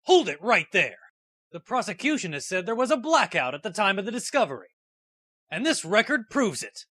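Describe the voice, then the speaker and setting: A man's voice: high-pitched, big pitch swings, brisk, sharp tone, full character voice. A man playing an earnest, nervous young rookie defense lawyer in a courtroom game fan dub. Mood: bold, challenging. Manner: hesitant stammer, bursts into bold objections. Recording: music under the voice.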